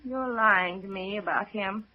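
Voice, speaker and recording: A woman's voice: mid-range voice, unhurried, natural voice. An actress playing a distraught, despairing young woman on the edge, with fragile, bitter delivery in 1950s radio drama style. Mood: weary despair. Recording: dull lo-fi old radio recording.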